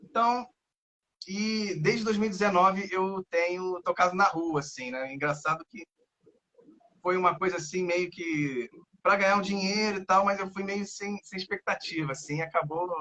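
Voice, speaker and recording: A man's voice: sits high, steady tone, normal speed, bright, forceful tone. A man in his thirties from Rio de Janeiro with a Carioca accent, a guest guitarist chatting in a casual interview and rambling with many fillers and restarts. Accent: Carioca Brazilian Portuguese. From Rio de Janeiro, Brazil. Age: 30s. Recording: dull lo-fi audio.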